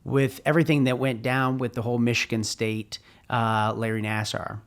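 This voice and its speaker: A man hosting a podcast, asking questions haltingly with many fillers. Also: medium pitch, level tone. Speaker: podcast host. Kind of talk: interview questions.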